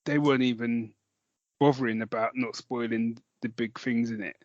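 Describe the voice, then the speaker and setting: A man with a British accent co-hosting a podcast, chatting casually in a rambling, halting way that often backtracks. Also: medium pitch, fairly even intonation, no echo, muffled recording. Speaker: podcast co-host. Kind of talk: casual chat about films and comics.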